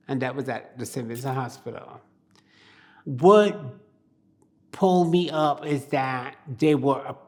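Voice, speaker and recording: A woman's voice: very deep voice, darkish tone. An older woman from Barbados, telling her life story in an oral history interview in a plain-spoken, reflective way.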